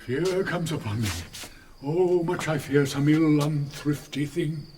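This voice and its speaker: A man's voice: a little high, unhurried, darkish tone, slight background noise, natural voice. An older man playing a fearful, pious old friar in classical Shakespearean radio drama, with anxious, declamatory delivery. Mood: anxious foreboding.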